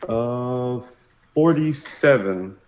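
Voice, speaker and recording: A man's voice: mid-range voice, lively intonation, very slow, darkish tone. A man playing a tabletop game, declaring his character's actions in short, terse statements. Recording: faint background noise.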